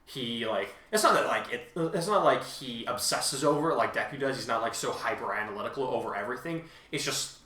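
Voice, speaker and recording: A man hosting a casual fan discussion, talking in a rambling, halting way and often correcting himself mid-thought. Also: mid-range voice, animated, bright, forceful tone, recorded from a distance. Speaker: host and fan fiction author. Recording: echoey untreated room.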